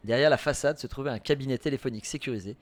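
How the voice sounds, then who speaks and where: A man's voice: mid-range voice, rapid-fire, no echo. A man co-hosting a casual radio-style trivia chat, playful and laughing often. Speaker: co-host. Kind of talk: casual banter and trivia quiz.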